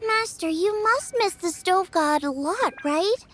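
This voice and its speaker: An actress voicing a cheerful, eager young apprentice in a game who asks bright questions. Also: female voice, extremely high pitch, expressive, a little slow, noisy background. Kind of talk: video game cutscene voice acting.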